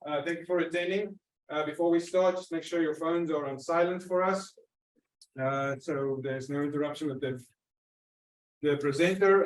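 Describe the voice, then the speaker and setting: Moderator guy reading an intro, lots of uhs, higher voice, sounds far from the mic in an echoey room. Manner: halting, many uh fillers. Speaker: session moderator. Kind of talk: introducing a speaker. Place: conference room.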